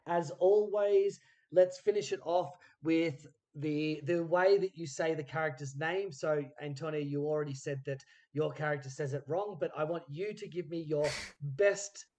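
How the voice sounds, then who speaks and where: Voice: medium pitch, moderate pace, darkish tone. A podcast interviewer with a middle-range voice. The delivery is casual and informal, with an encouraging way of putting questions to guests.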